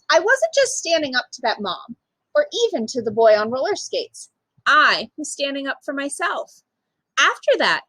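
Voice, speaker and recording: A woman reading a children's picture book aloud as a storyteller, with emphatic, expressive delivery for young listeners. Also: a little high, no room sound, rough recording. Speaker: storytime reader, literacy program manager.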